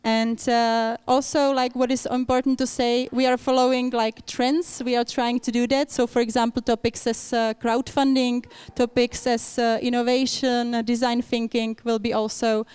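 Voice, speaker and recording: A young woman speaking English as an event moderator, pausing often with fillers as she introduces a panel. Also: fairly high pitch, level tone, darkish tone, smooth, no echo. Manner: frequent fillers and restarts, non-native English.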